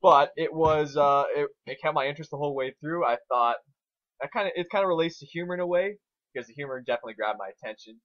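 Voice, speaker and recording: A man's voice: on the high side, quick pace, a bit bright, muffled recording. A man co-hosting a casual review, rambling through his opinions with plenty of crude swearing. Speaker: co-host reviewing fan fiction.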